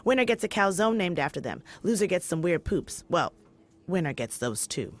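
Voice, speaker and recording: An actress playing a flippant, competitive office coworker in an audio sitcom, with an offhand, wry delivery. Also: female voice, fairly low pitch, very animated, moderate pace.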